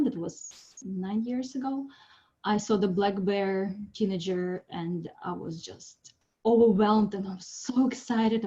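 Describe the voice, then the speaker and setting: A woman from Siberia, giving a friendly, chatty artist's talk on a video call, pausing with ums. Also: medium pitch, darkish tone, lo-fi sound. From Siberia, Russia. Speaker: presenting artist. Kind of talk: informal online presentation.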